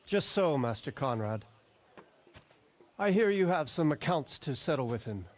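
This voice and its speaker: Courteous, animated medieval lord. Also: male voice, a little high, a little slow. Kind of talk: dramatic video game acting.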